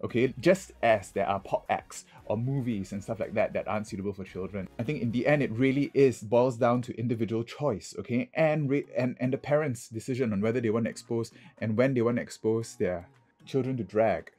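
A man with a husky voice, a drag queen giving chatty, casual vlog commentary and often checking in with "okay". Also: mid-range voice, a little fast, warm tone. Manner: chatty, punctuates points with "okay".

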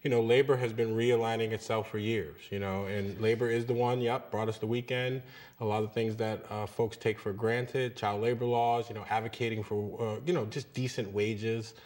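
A man's voice: on the low side, fairly even intonation, moderate pace, a little mellow. A man in his thirties, a union leader speaking earnestly as a guest in a TV studio interview. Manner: earnest, repeats words when emphasizing. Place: small TV studio.

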